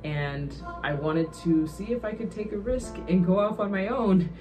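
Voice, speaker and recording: A woman's voice: medium pitch, normal speed. A woman in her thirties giving a personal testimonial to camera as a professor. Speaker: communications professor, former news producer. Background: guitar music.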